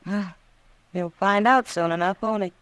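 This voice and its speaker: An American actress playing a frank, worldly saloon woman of the Old West in a radio drama, familiar and expressive. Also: mid-range voice, unhurried, clean tone, no echo, natural voice. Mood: surprised, frank. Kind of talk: 1950s radio drama acting.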